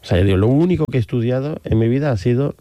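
A man's voice: medium pitch, expressive, rapid-fire, mellow, no room sound. A middle-aged man from Spain with an Andalusian accent, talking as an interview guest in a rambling, hesitant way. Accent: Andalusian Spanish. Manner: rambling, hesitant, with many fillers and restarts. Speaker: guest, photographer. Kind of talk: casual interview conversation.

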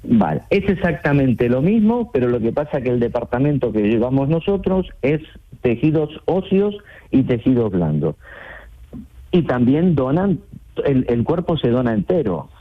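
A middle-aged man from Argentina, talking as a radio call-in guest in a halting way, often repeating himself. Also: medium pitch, very animated. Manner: halting, repeats words and phrases. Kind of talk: conversational phone interview. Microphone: phone. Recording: tinny phone line into radio show.

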